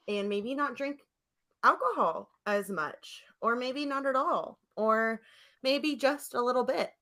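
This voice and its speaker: A woman's voice: on the high side, bright, forceful tone. A woman co-hosting a podcast in casual chat, effusive and giggly in her delivery. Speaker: podcast co-host. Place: remote internet call.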